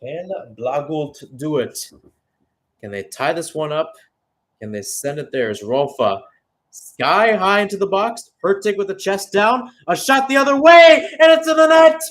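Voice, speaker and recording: A man's voice: high-pitched, expressive, measured pace, a bit bright. A man giving live radio-style play-by-play commentary on a football match, with exclamatory phrasing and rhetorical questions.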